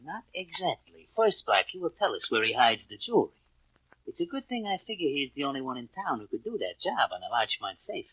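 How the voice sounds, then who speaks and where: A man's voice: medium pitch, very animated, brisk, a bit bright, slightly rough, no room sound, heavily acted. An actor playing a menacing, mock-courteous male gang boss in a stilted, formal 1940s radio crime drama style. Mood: calm, commanding. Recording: dull, lo-fi old radio recording.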